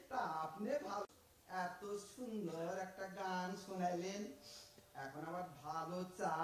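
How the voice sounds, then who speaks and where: Man voicing a sly East Bengali fruit thief, high-pitched, echoey room from far off. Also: a little hiss or hum. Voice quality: wheedling, stammering, theatrical. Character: cheeky smooth-talking male fruit thief. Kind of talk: audio drama acting.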